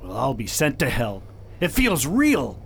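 A man playing a hot-tempered, impatient patrol officer in a science fiction radio drama, gruff and exclaiming. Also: medium pitch, wildly swooping pitch, a little slow, slight background noise, full character voice. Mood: irritated, blustering.

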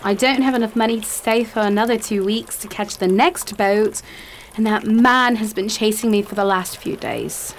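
A woman's voice: mid-range voice, moderate pace, a little hiss or hum, close to an everyday voice. A young woman playing a frightened, stranded companion who pleads anxiously in an amateur radio drama. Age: young adult.

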